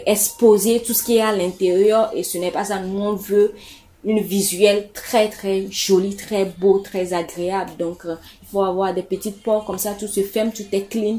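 A woman's voice: medium pitch, mellow. A woman giving casual, repetitive home decorating advice to her viewers, with many fillers and restarts. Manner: chatty, repeats words, many fillers.

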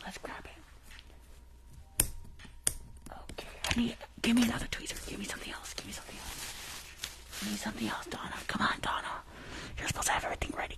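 A shady, tooth-stealing dentist played by a young woman whispers close to the mic in an ASMR roleplay. She is hurried and bossy, repeating orders, with a creaky edge to the breathy whisper. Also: mid-range voice. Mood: impatient, bossy. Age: young adult. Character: rushed, shady female dentist stealing patients' teeth. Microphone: close microphone with earphones.

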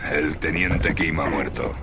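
Gruff game soldier, very low voice, terse, no-nonsense, loud machinery and ambient noise. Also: male voice, steady tone, measured pace. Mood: grim, terse. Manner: terse military radio reports. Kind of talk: video game acting.

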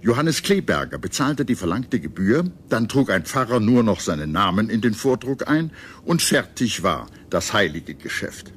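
A man reading scripted history documentary narration in a measured, solemn and dramatic manner. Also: fairly low pitch, sing-song, fairly bright tone, slight background noise.

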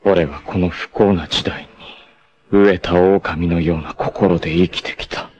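An actor playing a proud, self-sacrificing long-haired martial artist in a 1980s anime, speaking solemnly and dramatically with fierce shouts in battle. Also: male voice, low voice, steady tone, unhurried, lo-fi sound. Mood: solemn reflective.

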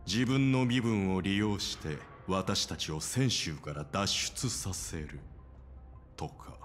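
Sly underling, lowish voice, slow, lively intonation, insinuating, taunting, game villain. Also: male voice, soft background music. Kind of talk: dramatic game voice acting.

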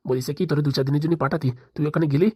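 A woman's voice: low voice, steady tone, very fast, darkish tone, breathy edge, no echo, clean studio sound. A woman voicing a greedy, blustering village politician in comic cartoon dialogue, pushy and dismissive.

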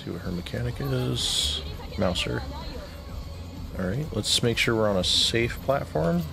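A man leading a game raid on stream, calmly calling out mechanics and giving instructions. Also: medium pitch, unhurried, creaky, music in the background. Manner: calm, instructive callouts with short laughs.

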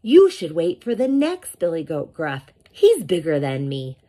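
A woman's voice: deep voice, wildly swooping pitch, unhurried, mellow, heavily acted. A woman voicing a small, timid but clever young male goat in a children's story, light and innocently pleading.

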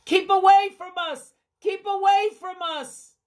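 A woman storyteller voicing a group of outcast men who loudly beg and shout. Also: extremely high pitch, steady tone, unhurried, voice pushed up. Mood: pleading. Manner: loud, begging cries. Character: crowd of pleading outcast men.

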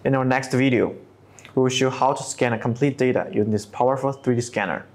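A man in his thirties narrating a scripted software tutorial step by step, with non-native English phrasing. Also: mid-range voice, fairly even intonation, a little fast. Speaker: tutorial presenter.

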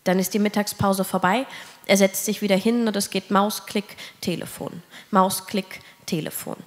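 A young woman tells a slam poetry story into a stage microphone. Her delivery is rhythmic and her voice sits at a medium pitch, with the long reverb of a hall. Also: fairly even intonation, normal speed. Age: 20s. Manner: rhythmic, repetitive listing. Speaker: slam poet. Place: live stage in an indoor event hall. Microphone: handheld-type mic on stand.

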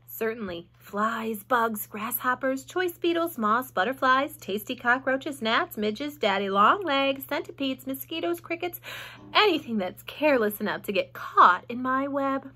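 A woman voicing a clever, bold female spider who is matter-of-fact and lively, with sudden high exclamations and hushed asides. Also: a little slow, exaggerated voice.

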